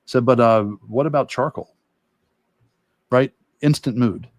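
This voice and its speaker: A man who teaches art, talking casually and thinking aloud in a halting way during a livestream demo. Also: mid-range voice, dry recording. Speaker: art instructor and illustrator.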